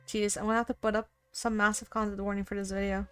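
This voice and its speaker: A woman's voice: mid-range voice, little pitch movement, fast talker, a bit bright, clear, resonant tone, close to an everyday voice. An earnest young woman who films monster hunts, read out by a woman gamer. She talks like a keen, folksy presenter in a mid-range voice. Visual novel game music sits behind her. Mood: sombre presenting. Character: earnest young female amateur monster-hunting video maker.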